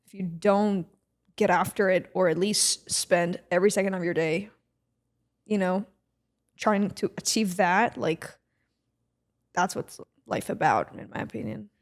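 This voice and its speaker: A woman's voice: medium pitch, a little slow, long pauses. Chatty woman in her twenties from Israel. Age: 20s. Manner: relaxed, chatty, many fillers. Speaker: podcast co-host. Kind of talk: casual podcast chat.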